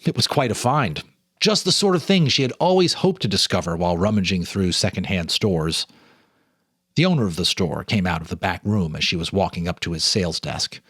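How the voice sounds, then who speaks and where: Male storyteller with a lowish voice, deliberate and dramatic for ghost tales, recorded dry. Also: expressive, a bit bright, husky, no room sound. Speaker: host and narrator reading a story. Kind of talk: dramatic ghost story reading with casual asides. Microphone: speaking to camera, reading off a teleprompter.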